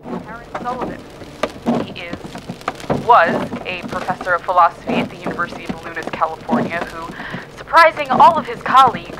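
A woman's voice: on the low side, lightly acted. A woman playing a jaded, scornful news presenter in an audio drama, blunt and contemptuous. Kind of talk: scripted news reading turning to editorial in audio drama. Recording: very noisy background with clicks.

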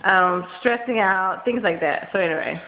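Tired, nasal woman rambling on webcam. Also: medium pitch. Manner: rambling, many ums and likes, talks fast. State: tired and congested from a cold. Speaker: vlogger. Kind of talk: casual webcam vlog. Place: small indoor room at home. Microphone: built-in webcam mic, close. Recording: dull lo-fi webcam audio with faint hiss.

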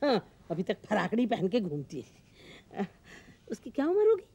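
A woman's voice: medium pitch, huge pitch swings, moderate pace, warm tone, breathy edge, exaggerated voice. A woman in her sixties playing a blunt, bossy, suspicious Indian grandmother in a television sitcom, scolding and interrogating. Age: 60s. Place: indoor TV studio living-room set. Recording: noisy old TV studio soundtrack.

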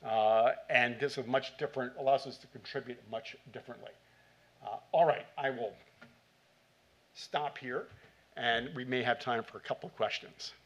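A man's voice: medium pitch, moderate pace, fairly bright tone, dull audio. Man presenting at a conference. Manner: talks in short bursts with many "uh" fillers. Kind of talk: conference talk and Q&A. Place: conference hall stage. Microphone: podium mic.

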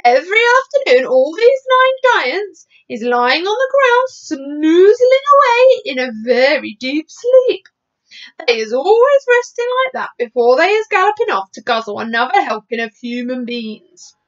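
A woman's voice: high-pitched, very animated, a little slow, highs cut off, pitched above the performer's own voice. A woman voicing a big, eager male giant from a storybook, who garbles his words and grammar. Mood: eager, explaining. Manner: muddled grammar and mangled words.

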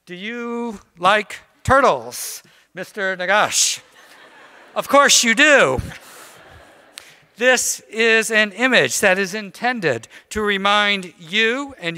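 An older man presenting an award at a college ceremony, speaking formally with gentle teasing. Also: high-pitched, clear, resonant tone. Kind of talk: ceremonial remarks. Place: large college concert hall. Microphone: podium mic.

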